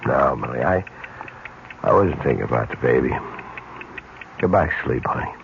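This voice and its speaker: An actor playing a worried, restless husband, subdued and terse, in an old radio drama. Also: male voice, a bass voice, animated, moderate pace, creaky, exaggerated voice. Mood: weary, tense. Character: weary, debt-worried husband lying awake at night. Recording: muffled, hissy old radio broadcast.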